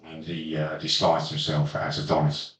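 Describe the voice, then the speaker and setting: A man's voice: very deep voice, completely flat delivery, moderate pace. A man hosting a casual podcast chat, recapping a game session with hesitant ums and dry jokes. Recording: roomy echo, distant mic.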